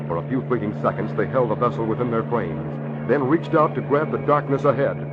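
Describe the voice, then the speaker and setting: A low-voiced man delivers florid, suspenseful narration in an old radio drama style. Music plays behind him, and the vintage recording is dull.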